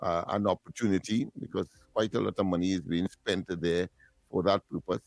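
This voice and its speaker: A man giving measured radio commentary in formal wording with many fillers. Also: low-pitched, fairly even intonation, vocal fry, a little mellow, no room sound. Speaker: radio co-host.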